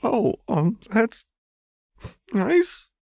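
A man's voice: very high-pitched, big pitch swings, extremely slow, gravelly, dark tone, no echo, pitched above the performer's own voice, full character voice. A man voicing a terrified, meek young male servant in an audiobook, stammering and whimpering. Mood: confused, wary.

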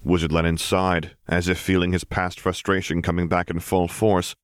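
A man's voice: deep voice, flat delivery, moderate pace, clear, resonant tone, no echo, clean studio sound. A man reading scripted fiction as an audiobook narrator.

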